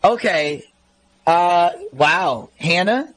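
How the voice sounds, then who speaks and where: A man's voice: high-pitched, lively intonation, extremely slow, crowd noise. A man hosting a radio phone-in, mediating casually in a tentative, slightly stammering way. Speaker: radio show host.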